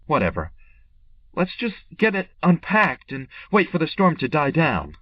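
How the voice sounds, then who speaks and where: A man's voice: a little high, wildly swooping pitch, measured pace. A man playing a weary, reluctant businessman in an old-time radio drama. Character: weary, wry travelling businessman, reluctant and uneasy. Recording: muffled, distant, loud music behind.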